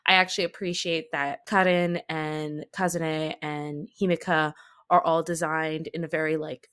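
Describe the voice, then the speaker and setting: A woman's voice: sits low, little pitch movement, normal speed, a bit bright, smooth. A woman co-hosting a casual podcast chat, often chuckling as she talks. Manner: giggly, chuckles while talking. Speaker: podcast co-host discussing anime.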